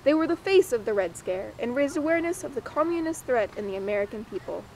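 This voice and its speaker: Young female presenter, high voice, scripted mock-documentary read, slight noise behind. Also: expressive, fast talker, a little mellow, low-quality recording. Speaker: presenter and interviewer.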